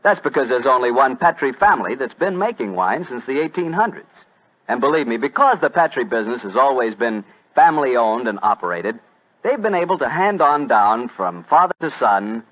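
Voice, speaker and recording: Lively American radio announcer, a man. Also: medium pitch. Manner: chatty, emphatic, folksy. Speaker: radio announcer and sponsor pitchman. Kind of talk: 1940s radio announcing, folksy sales patter. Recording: muffled old radio broadcast.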